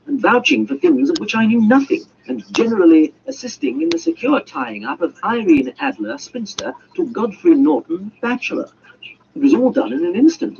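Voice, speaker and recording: A man's voice: very high-pitched, vocal fry, a little mellow. A man reading a story as a theatrical audiobook narrator in a dramatic, scripted style. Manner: theatrical, voices dialogue. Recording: muffled, noisy amateur audiobook recording.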